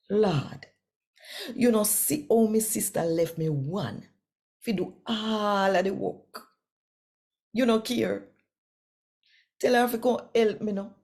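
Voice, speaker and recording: An older Jamaican woman in her sixties speaks in a very low, gravelly voice at an unhurried pace. She hosts a scripture reading, telling it expressively and deliberately, as if to listeners close by in a small room at home. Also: warm tone. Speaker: channel host and scripture reader. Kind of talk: daily scripture reading and chat in Jamaican Patois.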